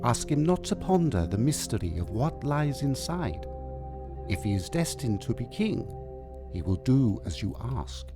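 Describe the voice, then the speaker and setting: A man voicing a wise old hermit sage in a storybook tale, calm, measured and enigmatic. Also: medium pitch, music in the background, pitched above the performer's own voice. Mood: calm, instructive. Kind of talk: storybook character voice in narrated tale.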